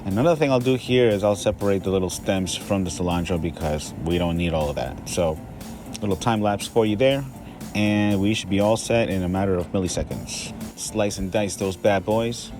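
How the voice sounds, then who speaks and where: A man's voice: low-pitched, moderate pace, over music. Upbeat man from Peru, casual cooking vlog. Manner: upbeat, chatty, self-joking. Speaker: cooking vlogger.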